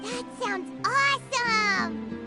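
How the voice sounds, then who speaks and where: An extremely high, childlike voice for a tiny fairy game companion. She is eager and chatty, with bouncy, lively intonation. Music plays prominently behind her. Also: extremely slow, full character voice. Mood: amazed, eager. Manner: speaks of self in third person, excitable. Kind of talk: video game voice acting.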